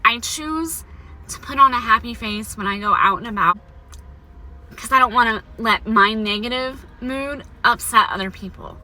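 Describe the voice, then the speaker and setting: A young adult woman talking to camera about her life after cancer, her voice set high by a mouth prosthetic, candid and encouraging. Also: normal speed, pushed and bright, poor audio. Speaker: vlogger sharing her cancer survival story. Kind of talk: personal talking-to-camera vlog.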